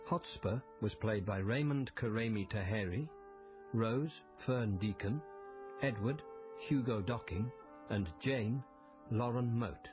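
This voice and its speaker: A man's voice: on the low side, takes it slowly, lo-fi sound, music in the background. A man working as a radio continuity announcer, reading scripted drama credits.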